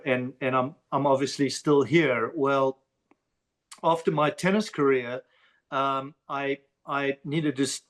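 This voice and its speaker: South African man, halting and repetitive. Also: medium pitch, a bit bright. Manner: halting, repeats words as he starts a thought. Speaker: podcast guest. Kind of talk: casual interview talk.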